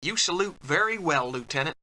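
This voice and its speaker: An actor playing a curious young cowboy hero in a dubbed adventure game, asking casual questions. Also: male voice, a little high, animated, a little fast, sharp tone.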